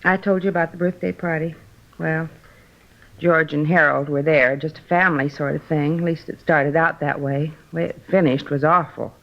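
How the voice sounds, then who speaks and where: An actress playing a worried, guilt-ridden sister in a 1950s radio drama, hesitant and apologetic, on a muffled old recording. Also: female voice, deep voice, steady tone, normal speed. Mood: regretful.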